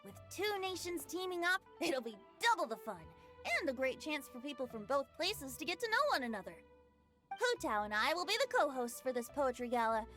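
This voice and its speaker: Boyish young bard, high voice, playful lilt, animated, light game music behind. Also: female voice, fairly quick. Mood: cheerful. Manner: lilting, poetic, playful. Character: cheerful, carefree boyish young male bard, playful and charming. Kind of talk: anime video game voice acting.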